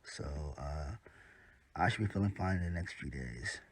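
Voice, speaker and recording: A man's voice: deep voice, expressive, normal speed, slightly rough. A man casually reviewing a wrestling show, congested from a head cold, rambling with lots of ums and the odd swear. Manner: laid-back, rambling, frequent ums and uhs, swears casually.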